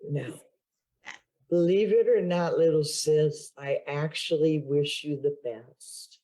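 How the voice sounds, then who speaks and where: A woman's voice: sits low, slow, dark tone. A woman improvising a teasing older sister who gives playful, reassuring dating advice. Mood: coaxing.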